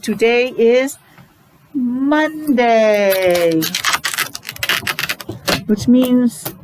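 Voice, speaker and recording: A woman's voice: a little high, a little mellow, rough recording. Woman teacher with noticeable background noise. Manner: simple repetitive phrasing with frequent questions, sounding out letters. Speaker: preschool or special education teacher leading morning meeting. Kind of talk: interactive lesson for young children.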